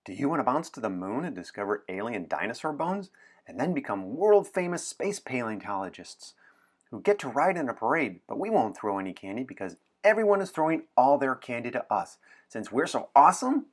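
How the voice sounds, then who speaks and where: A man voicing an excitable, imaginative little girl from a picture book, in eager, loud, breathless run-on sentences. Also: mid-range voice, fairly quick.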